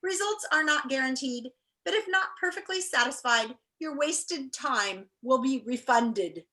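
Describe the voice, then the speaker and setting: A woman's voice: high-pitched, lively intonation, measured pace, bright, forceful tone, heavily acted. Woman as an officious, emphatic storybook notice. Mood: official, deliberate.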